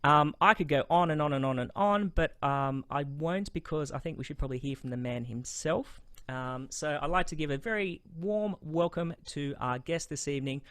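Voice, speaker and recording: A man's voice: fairly high pitch, moderate pace, a bit bright, clean tone, no echo. A man hosting an interview over a video call, upbeat and friendly, with many fillers. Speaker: host.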